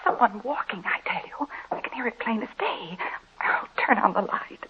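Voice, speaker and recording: A woman's voice: mid-range voice, animated, normal speed, close to an everyday voice. A woman playing an anxious, jumpy young wife in an old radio horror drama, with theatrical alarm. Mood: frightened, insistent. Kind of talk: 1940s radio horror acting. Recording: noisy, tinny old radio recording with clicks.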